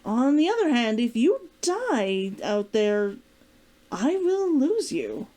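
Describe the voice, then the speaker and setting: Woman reading as a mellow, concerned, protective man. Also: a little high, wildly swooping pitch, slow, exaggerated voice. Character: concerned, teasing adult man, protective old flame. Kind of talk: storybook character dialogue read aloud.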